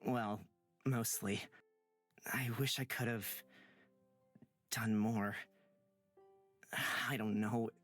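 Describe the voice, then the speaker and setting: A gentle young male traveler speaks softly and earnestly in a low voice. He is reassuring, like a visual novel hero, with music clearly behind him. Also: steady tone, very slow, long pauses. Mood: tender, reflective. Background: background music.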